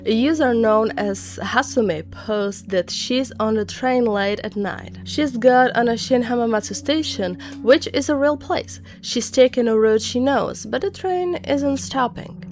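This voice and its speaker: A woman's voice: a little high, unhurried, hardly any pauses, a little mellow. A woman narrating scary stories conversationally as host, dropping dramatic short phrases and wry personal reactions. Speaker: narrator and host of horror legend videos. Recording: music bed under voice. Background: background music.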